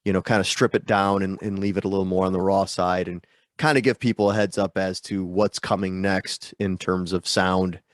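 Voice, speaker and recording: A man with a fairly low voice talks loosely over a video call, his nose stuffed up from a sinus infection. He rambles and pokes fun at himself, with plenty of fillers. The sound is dry and close. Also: little pitch movement, moderate pace, no room sound. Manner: rambling, self-deprecating, lots of fillers. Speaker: guest musician. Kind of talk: casual interview chat.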